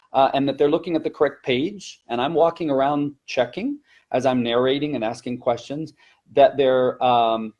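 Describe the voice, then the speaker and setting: A man's voice: mid-range voice, dark tone, muffled recording. A man who teaches elementary Spanish, giving an explanatory teacher-training talk in a hesitant, self-correcting way.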